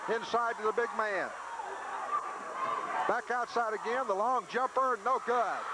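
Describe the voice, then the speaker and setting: Male radio play-by-play announcer, high voice, punchy clipped calls, heavy noise from a packed gym on old tape. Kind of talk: live basketball play-by-play commentary. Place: crowded high school gym. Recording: noisy 1980s VHS-transferred local radio broadcast. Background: loud gym noise.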